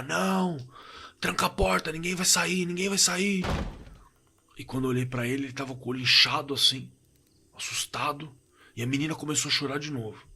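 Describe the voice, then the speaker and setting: Male actor as shaken Brazilian working man, bright forceful voice, halting colloquial horror testimony for audio drama, some background noise. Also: mid-range voice, creaky. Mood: panicked. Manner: halting, repetitive, colloquial, trailing off. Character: shaken working-class man recalling a frightening camping night. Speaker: eyewitness telling his own horror story.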